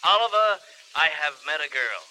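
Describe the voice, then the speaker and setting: An actor playing a charming, tipsy, persistent movie star in 1930s radio style, halting and repeating himself. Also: male voice, on the high side, very animated, bright, forceful tone, a little hiss or hum, heavily acted, rough recording. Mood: tipsy, insistent.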